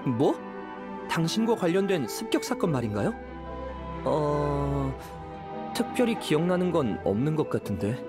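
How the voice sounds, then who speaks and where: An actor playing a friendly, eager young detective agency employee in an anime game, chatting politely with music behind him. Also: male voice, on the high side, big pitch swings, a little slow. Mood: surprised.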